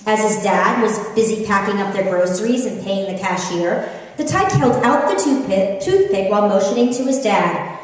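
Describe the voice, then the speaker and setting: A woman's voice: mid-range voice, expressive, normal speed. American woman reading in a very echoey room. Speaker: author reading from her book. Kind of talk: live book reading with conversational, humorous storytelling. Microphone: distant microphone. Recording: very echoey room, distant microphone.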